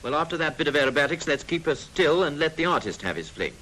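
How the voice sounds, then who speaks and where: A British man with a fairly high, forceful voice narrates a wartime instructional film. His delivery is clipped and scripted, with dry little asides. The 1940s soundtrack carries some faint noise. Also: sing-song, quick pace.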